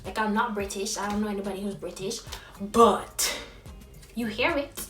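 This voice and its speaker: Young Trinidadian woman vlogger, high bright voice, expressive and quick, echoey room with some distance from the mic. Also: faint music behind. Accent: Trinidadian with American influence. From Trinidad and Tobago. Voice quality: high-pitched youthful voice. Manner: expressive, lively, quick when excited, laughs often. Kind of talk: casual talk to camera.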